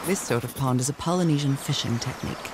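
An actress playing a wistful, curious 1930s woman explorer in a video game, thinking aloud in a gentle, articulate way. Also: very deep voice, quick pace, noisy background. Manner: gentle, articulate, musing, wonder-struck.

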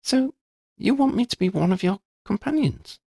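A man's voice: sing-song, moderate pace, vocal fry, husky, no room sound, studio quality, exaggerated voice. A man reading aloud and voicing a curious, eager teenage schoolgirl who stammers when flustered. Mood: surprised. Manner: high put-on voice, stammering.

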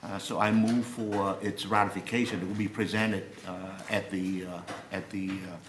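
Halting low-voiced commissioner, lots of uhs. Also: male voice, little pitch movement. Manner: halting, full of fillers. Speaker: county commissioner. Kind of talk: presenting a resolution. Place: large county courtroom, indoors. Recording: echoey room with faint noise.

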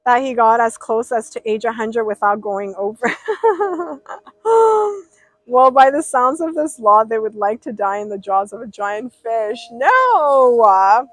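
A woman's voice: on the high side, sing-song, measured pace, dry recording. A young woman livestreaming outdoors by a pool, rambling casually and reading out viewers' names. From Persian.

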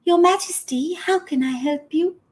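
A woman's voice: extremely high pitch, moderate pace, voice pushed up. A woman doing a flustered old dancer woman. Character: old graceful female dancer.

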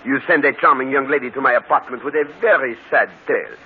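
An actor playing a shrewd, courteous, self-assured detective with a French accent, precise and theatrical, in an old radio mystery. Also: male voice, mid-range voice, fast talker, slightly rough. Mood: triumphant, mocking. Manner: theatrical, precise, mock-polite. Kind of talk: 1940s radio mystery acting. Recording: tinny, hissy old radio broadcast.

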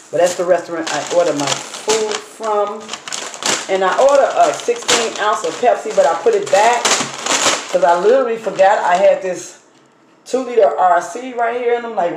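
A woman with a slightly hoarse voice chats easily to her followers as she opens her food and eats. She sits at a dining table at home, recorded on a phone propped in front of her. The room gives her voice a noticeable echo, with a faint hiss underneath. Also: mid-range voice. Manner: chatty, talks while unpacking and eating food. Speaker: vlogger. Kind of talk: casual mukbang storytime chat. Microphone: phone camera on the table. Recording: echoey room with faint noise.

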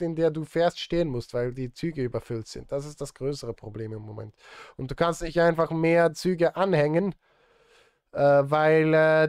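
A man in his thirties talks casually on a livestream. His voice sits a little high for a man. He addresses his chat in an easy conversational way, recorded close and dry with very little room sound. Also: darkish tone, clear, resonant tone, no room sound. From Switzerland. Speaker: livestreamer and film music composer. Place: indoor home studio.

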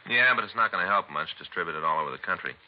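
An actor playing a practical, plain-spoken police detective in a 1950s radio drama, with clipped delivery. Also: male voice, sits low, expressive, very fast. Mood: matter-of-fact. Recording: dull 1950s radio recording, faint noise.

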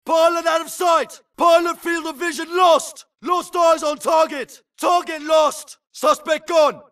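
An actor playing an urgent, aggressive infantry soldier in a video game, barking clipped military combat callouts. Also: male voice, extremely high pitch, sharp tone, far from the mic. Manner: clipped, barked military radio phrasing.

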